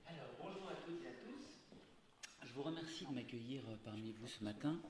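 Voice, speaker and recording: A man who grew up in Syria, a native Arabic speaker, reading a measured, earnest formal speech as guest of honour. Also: mid-range voice, lively intonation, crowd noise. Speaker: guest speaker, comics author. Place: large ceremonial hall. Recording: echoey hall with faint noise.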